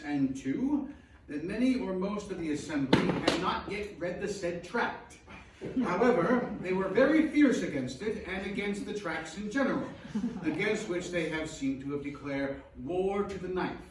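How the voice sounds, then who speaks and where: A man's voice: high voice, lively intonation, moderate pace. An actor playing a concerned Victorian churchman in a theatrical reading of a letter to a friend. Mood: wry, urgent. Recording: distant echoey hall, some noise.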